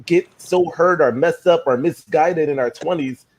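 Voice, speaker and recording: A man in his thirties hosting a live-stream talk, relaxed and playful, laughing easily. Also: fairly high pitch, moderate pace. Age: 30s. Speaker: host.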